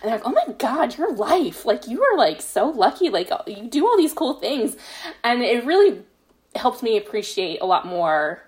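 A woman's voice: on the high side, very animated, moderate pace, darkish tone. A woman guest on a podcast, chatting casually in a self-deprecating way and often quoting exchanges as she tells stories. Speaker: podcast guest, fan fiction author. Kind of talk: casual conversational storytelling.

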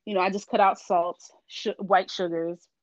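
A woman's voice: mid-range voice, moderate pace, no room sound. Woman from Miami on dull lo-fi audio. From Miami, Florida. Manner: relaxed, frequent ums and laughs. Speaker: guest, vegan business owner. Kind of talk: casual interview chat.

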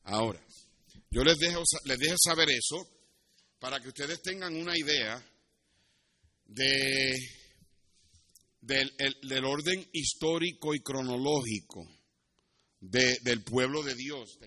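Bright-voiced Puerto Rican pastor preaching. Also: male voice, mid-range voice, takes it slowly, long pauses. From Puerto Rico. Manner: didactic, switches into English asides. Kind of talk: sermon with Bible teaching and personal anecdotes.

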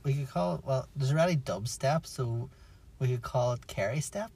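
A man's voice: medium pitch, normal speed, creaky, a little mellow, a little hiss or hum. A man co-hosting a casual podcast, teasing his friend and laughing often. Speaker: podcast co-host.

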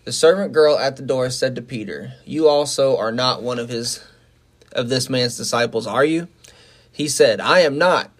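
A man's voice: medium pitch, moderate pace. A man co-hosting a church podcast, talking in an earnest, upbeat and plain-spoken way and reading scripture aloud. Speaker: podcast co-host. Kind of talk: casual faith talk and scripture reading.

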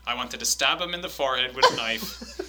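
Man, bright forceful voice, casual podcast banter, animated quote reading. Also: medium pitch, fairly quick. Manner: animated, swearing, laughing while reading quotes.

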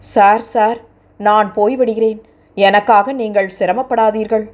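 A woman's voice: mid-range voice, steady tone, a little slow, close to an everyday voice. A woman voicing a distraught, remorseful young woman who speaks in a sorrowful, pleading way. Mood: polite.

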